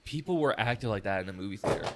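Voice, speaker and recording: A man's voice: fairly low pitch, lively intonation, very fast, a bit bright, slight background noise. A man co-hosting a podcast in casual, conversational chat. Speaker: podcast co-host.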